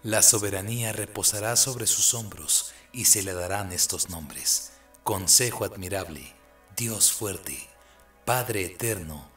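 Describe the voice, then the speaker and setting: Casting: male narrator, solemn scripture reading, unhurried and lowish. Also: a bit bright. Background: faint music.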